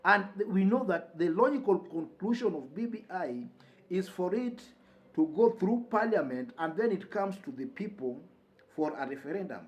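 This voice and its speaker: High-pitched, animated Kenyan male political commentator. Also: moderate pace. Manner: emphatic, repeats key phrases for weight. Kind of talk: news commentary to camera or listeners.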